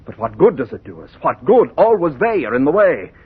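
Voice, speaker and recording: A man's voice: fairly high pitch, big pitch swings, brisk, exaggerated voice. An actor playing a young-looking immortal man who is condescending, eloquent and world-weary, in vintage radio drama style. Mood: weary, bitter. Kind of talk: 1940s radio horror drama acting. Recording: tinny, husky-sounding vintage radio recording.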